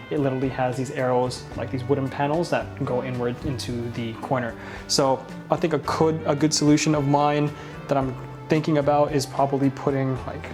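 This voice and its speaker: A man with a mild head cold talking casually as the host of a home tour vlog, indoors in an empty living room. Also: mid-range voice.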